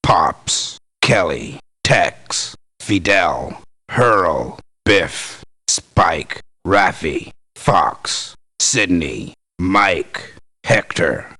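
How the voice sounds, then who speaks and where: An actor playing a blunt, cynical, tough-talking mercenary in a video game. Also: male voice, sits low, very animated, drawn-out words, bright, forceful tone, no echo, heavily acted. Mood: flat, curt. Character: gruff, blunt male mercenary, cynical about pay. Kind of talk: video game character voice lines.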